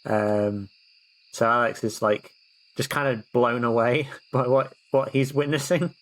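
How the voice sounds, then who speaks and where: A man's voice: mid-range voice, fairly even intonation, measured pace, rough recording. A man taking part in a tabletop role-playing game, improvising casually as a player.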